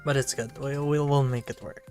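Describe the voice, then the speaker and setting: A man's voice: medium pitch, steady tone, normal speed, darkish tone, soft background music. A man with a stuffy, congested nose reading a visual novel aloud on a stream and chatting casually between lines. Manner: casual, sighing asides. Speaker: VTuber streamer.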